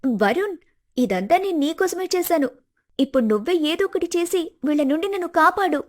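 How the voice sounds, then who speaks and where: A woman playing a high-voiced scheming young wife. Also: animated, brisk. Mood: desperate pleading. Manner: melodramatic, pleading. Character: scheming young wife faking tearful innocence. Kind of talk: animated moral-story dialogue.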